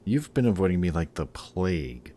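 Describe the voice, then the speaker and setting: A man's voice: low voice, big pitch swings, moderate pace, mellow, a little hiss or hum. A man acting a sarcastic, guarded villain in an audio roleplay, with a snarky, casual delivery that grudgingly shows care. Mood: dry, exasperated. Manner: snarky, dry, casual teasing.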